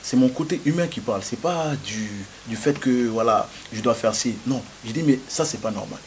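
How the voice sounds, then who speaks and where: Young man, interviewee, mid-pitched, halting delivery, slight hiss on the recording. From France. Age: young adult. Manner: halting, many hesitations and restarts. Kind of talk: interview answers.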